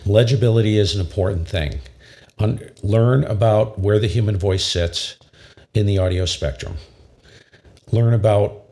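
A man in his fifties with a very low voice, enthusiastically explaining ham radio audio gear in a casual tutorial from his home radio room. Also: gravelly, a little mellow. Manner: enthusiastic, emphatic, repeats himself. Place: home radio shack, small indoor room. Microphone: studio condenser mic with pop filter.

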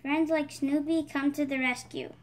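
High-pitched female storybook reader. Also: fairly even intonation, moderate pace. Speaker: storybook reader, channel host. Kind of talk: picture book read-aloud.

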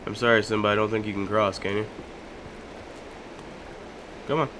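A young man casually commentating a video game as he plays, chatty and joking, with sudden loud outbursts. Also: on the low side, level tone, normal speed, background noise. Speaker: gaming YouTuber. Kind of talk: casual let's-play commentary.

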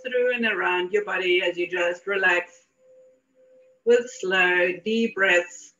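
A woman leading a guided meditation in a soothing, deliberate manner with repeated phrases. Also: fairly low pitch, pushed and bright. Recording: dull lo-fi audio.

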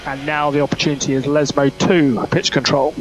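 A man's voice: on the high side, flat delivery, fairly quick, faint music behind. A man working as a race engineer, giving terse, clipped technical instructions over team radio. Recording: noisy motorsport team radio.

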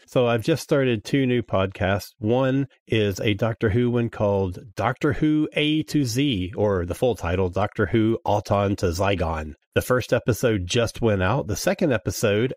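A man's voice: lowish voice, expressive, normal speed, few pauses, darkish tone, dry recording, studio quality. A man chatting casually as a podcast guest, informal and self-deprecating. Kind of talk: casual chat.

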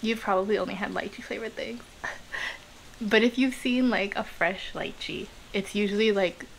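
A woman's voice: medium pitch, moderate pace, low-quality recording. Chatty woman, rain pattering behind. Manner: chatty, rambling, with many ums and likes. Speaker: art vlogger. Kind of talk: casual chat to camera. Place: small home kitchen-studio indoors, rain outside. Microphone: camera close on the table. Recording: home video with clear rain noise behind. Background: rain noise.

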